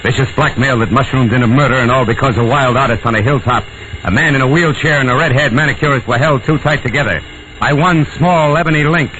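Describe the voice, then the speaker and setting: An American actor playing a cynical, world-weary private detective in a 1940s radio noir. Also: male voice, medium pitch, fast talker, noisy background, natural voice. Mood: grim, brooding. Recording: dull old radio recording with music.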